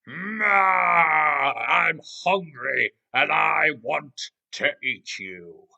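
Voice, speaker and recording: A man voicing a hungry, menacing crocodile in a children's story, with loud, drawn-out delivery. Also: fairly high pitch, animated, gravelly, highs cut off, pitched above the performer's own voice, exaggerated voice.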